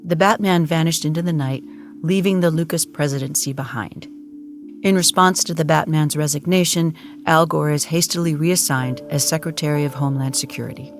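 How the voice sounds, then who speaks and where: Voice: medium pitch, steady tone, brisk. A narrator delivering scripted dramatic narration in a solemn, mock-epic storytelling manner. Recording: music clearly behind the voice.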